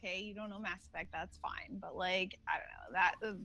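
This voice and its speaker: A woman's voice: fairly low pitch, fairly even intonation, fairly quick, gravelly, bright, forceful tone, no room sound. A woman guest on a podcast, telling stories in loose, rambling talk full of fillers and restarts. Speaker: guest, cosplay face model. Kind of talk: casual podcast chat.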